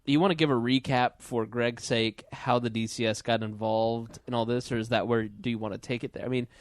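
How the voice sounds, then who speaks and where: A man's voice: medium pitch, steady tone, a little fast, dry recording. A man hosting a podcast, asking questions in casual, irreverent banter with a blunt, joking manner.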